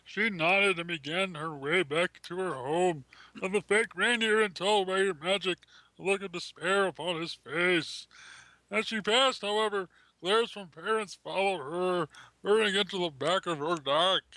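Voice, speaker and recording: A man's voice: high voice, a little slow, sharp tone, dry recording, rough recording. A man reading a fan story aloud in an amateur group podcast, mixed with casual banter.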